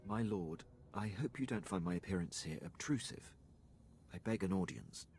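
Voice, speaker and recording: A man's voice: sits low, animated, moderate pace, a little mellow, a little hiss or hum. An actor playing an earnest, loyal Imperial officer in a video game, formal and precise in his deference. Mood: deferential. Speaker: game character, military officer.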